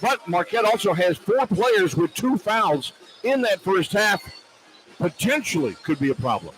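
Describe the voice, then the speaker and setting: A man's voice: high voice, very animated, measured pace, fairly bright tone, a little hiss or hum, poor audio. A man doing live play-by-play radio commentary at a basketball game, recapping as an announcer on an arena broadcast.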